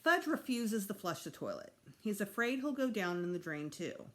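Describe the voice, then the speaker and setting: Female storyteller, teacher reading to children. Highly animated intonation, medium pitch, emphatic and expressive. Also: moderate pace. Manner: animated, acts out dialogue with emphasis. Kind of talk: expressive read-aloud storytelling.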